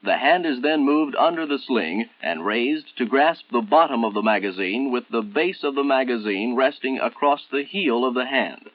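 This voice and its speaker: An American man narrating a 1960s military training film in a formal, measured, instructional manner, on an old film soundtrack. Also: medium pitch, lively intonation, dry recording, narrow, tinny audio, natural voice.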